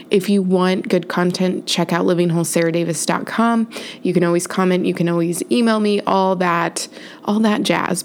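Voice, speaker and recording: A woman with a congested, stuffy voice gives a rambling solo podcast update. Her voice sits a little low, and she talks in a casual, chatty way that keeps circling back and correcting itself. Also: a little mellow. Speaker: podcast host.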